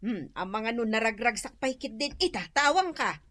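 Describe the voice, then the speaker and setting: Older mother, woman, Ilocano accent, high-pitched, animated, reproachful, lecturing, radio drama. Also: moderate pace. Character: reproving, no-nonsense older mother advising her daughter.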